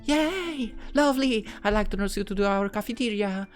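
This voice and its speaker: Woman voicing a friendly, higher-pitched schoolgirl. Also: animated, brisk, soft background music, lightly acted. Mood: eager, cheerful. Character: friendly, food-loving, encouraging high school girl in a visual novel.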